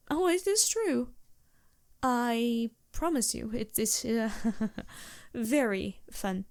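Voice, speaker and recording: A woman's voice: fairly high pitch, no room sound, studio quality. A woman playing a polite, reassuring vampire merchant in a fantasy ASMR roleplay, speaking formally with small hesitant asides. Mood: bashful, amused. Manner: genteel, formal phrasing with hesitant asides and soft chuckles.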